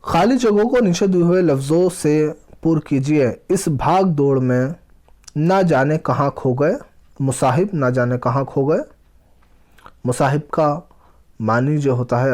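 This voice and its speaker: A man teaching a children's language lesson, reading aloud and explaining, deliberately pausing and repeating words and phrases. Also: mid-range voice, a little mellow.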